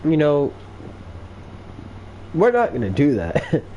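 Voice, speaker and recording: Dude streaming a game, mellow voice, unhurried, swears and teases, faint game noise behind. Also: male voice, a little high, wildly swooping pitch, low-quality recording. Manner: slangy, profane, teasing. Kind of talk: casual gameplay commentary. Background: video game audio.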